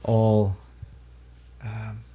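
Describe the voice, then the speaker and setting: A man from Pakistan and the UAE, native Urdu speaker, talking thoughtfully about his music in a halting, filler-filled way. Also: lowish voice, monotone, dark tone, breathy edge. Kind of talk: reflective interview talk.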